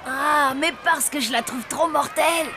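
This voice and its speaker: A woman voicing an eager, excitable young zombie boy in a French children's cartoon. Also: high voice, moderate pace, over a noisy crowd, heavy background noise, lightly acted. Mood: smitten, defensive. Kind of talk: French animated children's series dubbing.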